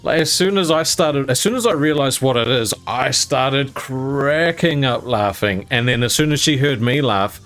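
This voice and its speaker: A man's voice: medium pitch, moderate pace, few pauses, fairly bright tone, soft background music. A young adult man telling a personal story to camera, rambling with fillers and laughing along as he goes.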